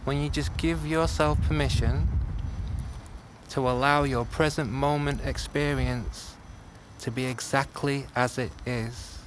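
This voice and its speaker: A man teaching a guided meditation, speaking gently in short phrases with long pauses. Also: medium pitch, steady tone. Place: outdoors. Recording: outdoor class with clear background noise.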